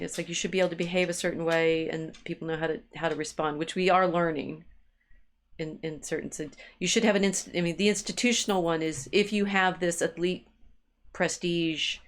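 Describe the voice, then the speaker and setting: A woman with a slightly low voice talks through ideas as a co-host of a discussion. Her delivery is halting, circling back over the same words while she hunts for the right phrase. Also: steady tone. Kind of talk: intellectual discussion, thinking aloud.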